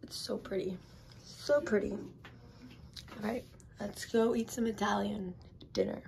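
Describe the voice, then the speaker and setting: A young woman with a hoarse voice from being sick, chatting to her phone camera in a hotel room in a bubbly, gushing way. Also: mid-range voice, steady tone, slow, a little mellow, slight background noise, rough recording. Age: young adult. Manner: bubbly, gushing, talks to viewers as guys. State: hoarse, lost voice from illness. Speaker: travel vlogger. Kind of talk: casual vlog chat to camera. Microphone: handheld phone camera at arm's length.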